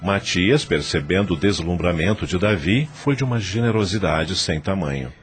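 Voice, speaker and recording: A man narrating a radio drama in an expressive storytelling manner. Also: low-pitched, normal speed, breathy edge, faint music behind.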